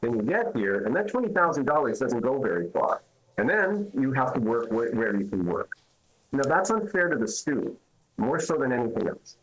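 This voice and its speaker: A man with a husky, slightly rough voice makes his case in a news interview. He speaks emphatically, pressing points with rhetorical questions, and his voice sits at an ordinary middle pitch. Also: big pitch swings, moderate pace, rough recording. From Canada. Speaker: immigration lawyer.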